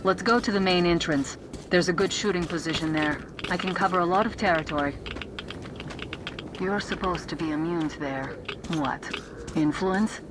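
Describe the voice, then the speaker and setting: Actress playing a low-voiced, sardonic sniper woman. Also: normal speed, natural voice. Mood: focused. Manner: curt, mocking, commanding. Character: tough sardonic young female sniper in post-apocalyptic Moscow. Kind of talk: video game voice acting. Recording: noisy game battle ambience.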